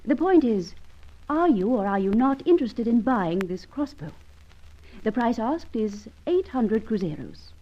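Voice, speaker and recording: An actress playing a haughty, cool Nordic shop dealer with formal, imperious diction in old-time radio drama style. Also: female voice, on the high side, very animated, a little slow, highs cut off. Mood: cool, intrigued.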